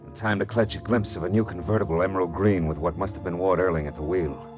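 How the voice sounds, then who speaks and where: An actor playing a tough, wry American private detective and world-weary narrator in a 1950s radio drama. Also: male voice, low-pitched, fairly even intonation, quick pace, lo-fi sound, over music, natural voice. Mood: weary narration. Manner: clipped, wry, hardboiled.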